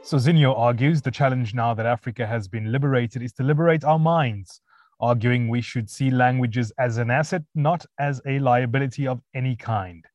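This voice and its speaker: A man hosting an interview, reading a prepared introduction and then asking questions with pauses. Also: mid-range voice, darkish tone, dry recording, soft background music. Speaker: podcast host.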